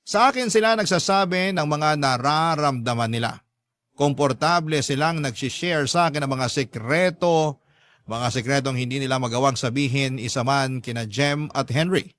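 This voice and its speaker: A man's voice: medium pitch, normal speed, a bit bright, no echo, lightly acted. A man narrating in the first person as an earnest, devoted stepfather, sincere and confiding, in a dramatized love story. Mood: tender, proud.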